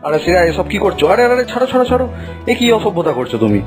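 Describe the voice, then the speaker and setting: A man playing a married teacher in an animated story, polite but easily flustered and indignant, with emphatic exclamations. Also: sits high, very fast, music in the background.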